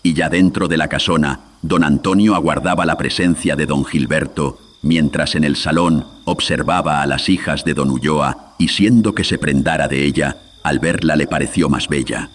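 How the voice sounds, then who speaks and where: A man's voice: very deep voice, moderate pace, slightly rough. A man narrating a horror radio drama in a solemn, theatrical way, with a processed voice. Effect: voice processed with audio filters.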